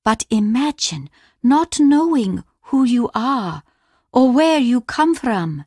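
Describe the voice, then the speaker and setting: Slow, solemn Japanese woman. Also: on the high side, sing-song, mellow, breathy edge, no room sound, studio quality, exaggerated voice. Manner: measured, formal, deliberate. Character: solemn, reserved Japanese woman devoted to tradition. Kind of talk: video game character dialogue.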